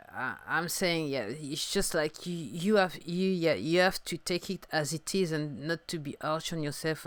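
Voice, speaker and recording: A French-accented woman in her fifties muses aloud, pausing and restarting as she hunts for the right words. Her voice is smooth and resonant, recorded close and dry with no room sound. She speaks English as a second language in an unhurried, thoughtful way. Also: deep voice, dry recording. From France. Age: 50s. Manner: halting, searching for words, soft and musing. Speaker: singer-songwriter podcaster. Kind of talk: reflective solo podcast talk.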